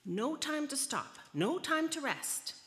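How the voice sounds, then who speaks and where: A woman voicing a bossy, demanding workaholic man in a children's storybook, shouting out commands. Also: high-pitched, very animated, slow pace, pitched above the performer's own voice, full character voice. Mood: bossy, indignant. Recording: reverberant hall.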